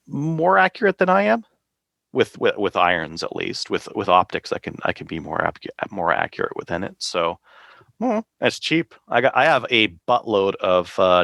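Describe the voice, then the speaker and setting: A man speaks in a low register with plenty of vocal fry. He talks as a podcast co-host in a casual, slangy, conversational way. The sound is dry, with no room echo. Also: animated, normal speed, a bit bright. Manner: informal, conversational, uses slang. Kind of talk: casual hobbyist chat.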